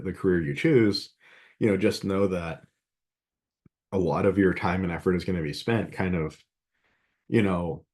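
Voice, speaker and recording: Low-pitched male lecturer, easygoing and digressive, mildly stuffy from a cold. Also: a little mellow. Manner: rambling, conversational, many fillers. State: slight head cold, a bit sniffly and scratchy. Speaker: math instructor. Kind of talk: classroom lecture.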